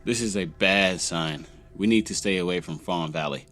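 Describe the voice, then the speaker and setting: A man voicing a jaded, sarcastic little fairy-world sidekick in a comic dub, with dry deadpan delivery. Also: low-pitched, normal speed, soft background music. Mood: wary. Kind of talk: comic fan-dub voice acting.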